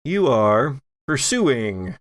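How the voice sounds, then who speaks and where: A man with a creaky voice narrating and making rulings as game master of a tabletop role-playing game, in a measured, conversational way. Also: medium pitch, sing-song, dry recording, clean studio sound. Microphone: close studio microphone.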